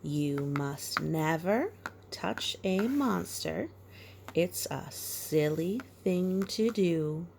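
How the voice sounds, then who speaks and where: Animated woman reading to little kids, creaky voice. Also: on the low side, very slow, slight background noise. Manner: playful, sing-song, talking to small children. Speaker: parent reading a picture book to children. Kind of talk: children's storybook read-aloud with asides and counting.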